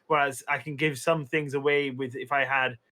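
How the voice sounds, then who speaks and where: A man's voice: medium pitch, little pitch movement, normal speed, sharp tone. A young Welsh man, a streamer, chatting casually on a podcast with lots of fillers and restarts. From Wales. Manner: rambling, many fillers and restarts.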